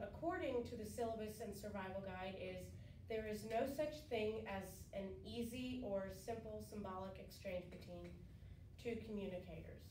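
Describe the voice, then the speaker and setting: A young woman presents a class speech, halting and filling pauses with ums. She is a bit under the weather, so her voice sits lower than usual. The sound is picked up from the back of a classroom, roomy and hissy. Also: dark tone. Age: young adult. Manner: hesitant, many ums and restarts. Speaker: student giving a class speech. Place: classroom with conference tables, indoors. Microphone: far camera at the back of the room. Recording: roomy, distant camera audio with background hiss.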